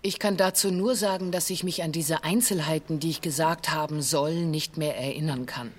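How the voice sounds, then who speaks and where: Ein Schauspieler spielt in einem Hörspiel einen steifen, sich verteidigenden Verdächtigen, der förmlich und gestelzt im Verhör aussagt. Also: male voice, a little high, steady tone, moderate pace, natural voice. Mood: stiff, matter-of-fact. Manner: formal, stilted wording. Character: male criminal suspect under interrogation, stiff, defensive. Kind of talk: radio play, recited statement of interrogation record.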